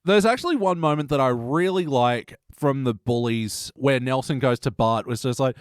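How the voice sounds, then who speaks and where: A man hosting a podcast in casual review chat, talkative and quick to laugh. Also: medium pitch, clear, resonant tone, no room sound, clean studio sound. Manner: chatty, laughs often while talking. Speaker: podcast host.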